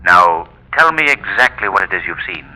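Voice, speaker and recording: An actor playing a mysterious invisible crime fighter, speaking with measured reassurance through a telephone-like filter in 1930s radio mystery style. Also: male voice, deep voice, big pitch swings, bright, forceful tone, heavily acted. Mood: probing. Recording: echoing 1930s radio recording.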